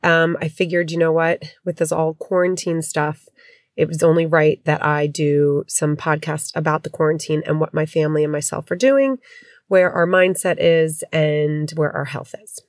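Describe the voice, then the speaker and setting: Congested middle-aged New woman, low-pitched, rambling podcast host, dry room. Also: steady tone, normal speed, no echo. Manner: chatty, rambling, candid. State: congested with a head cold. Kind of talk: casual podcast chat. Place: home.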